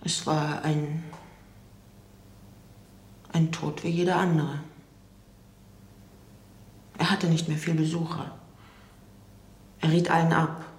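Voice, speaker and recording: A woman's voice: low-pitched, level tone, slow, pauses often. An actress in a radio drama playing a restrained, reflective woman who recalls the past in a hesitant, understated way. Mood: restrained reflection. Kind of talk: radio drama interview monologue. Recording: roomy, echoing sound.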